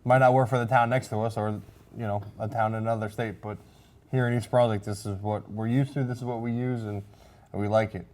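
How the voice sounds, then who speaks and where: A man's voice: lowish voice, fairly even intonation, brisk, a little mellow. A plain-spoken man, a fire officer explaining casually in an interview.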